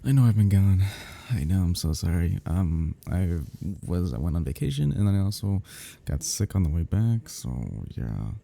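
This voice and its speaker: A man speaks casually to his listeners as an audio creator. His voice is deep and very mellow, with a creaky edge. The delivery is informal and conversational. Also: very animated, moderate pace, few pauses. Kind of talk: casual outro to followers.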